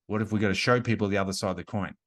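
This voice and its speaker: Low-voiced chatty man in his forties. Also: fairly even intonation, rapid-fire. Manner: chatty, frequent "like" and restarts. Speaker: business coach and author. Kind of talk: casual interview conversation.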